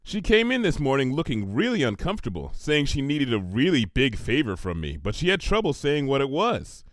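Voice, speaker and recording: A man's voice: mid-range voice, huge pitch swings, brisk, dry recording, studio quality, pitched above the performer's own voice. A man voicing a bookish, well-meaning young female cartoon pony with an earnest, precise, weary manner for a story reading. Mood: concerned.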